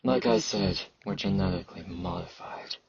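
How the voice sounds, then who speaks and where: A man playing an aloof, battle-hardened fantasy knight in an ASMR roleplay, halting and terse, with a husky, gravelly voice and sibilant S sounds. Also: steady tone, darkish tone, dull audio. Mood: gruff, concerned. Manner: halting, terse, low-key, socially awkward.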